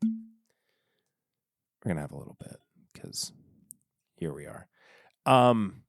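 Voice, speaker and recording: A man hosting a casual solo podcast from his desk at home, talking in a rambling, halting way that often stops and restarts. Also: low voice, lively intonation, dry recording, studio quality. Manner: rambling, halting, self-interrupting. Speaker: podcast host reviewing whiskey.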